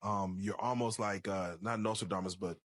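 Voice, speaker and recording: Hesitant low-voiced interviewer man. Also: fairly even intonation, moderate pace, no echo, studio quality. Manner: hesitant, stumbling. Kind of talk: halting interview question.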